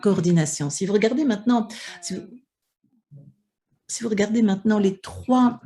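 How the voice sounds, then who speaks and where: A woman's voice: medium pitch, normal speed, dark tone, husky. A woman interpreting a conference panel live, with many hesitations and restarts. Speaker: simultaneous interpreter for an online panel.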